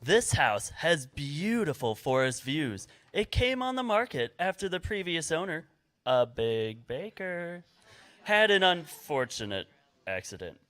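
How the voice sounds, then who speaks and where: A man plays a house salesman in a storybook stage parody. He speaks very slowly in a slightly high voice, with lots of melodic swing as he coaxes the buyers along. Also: very animated, a bit bright. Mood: selling. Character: smooth-selling male real estate agent, eager to please.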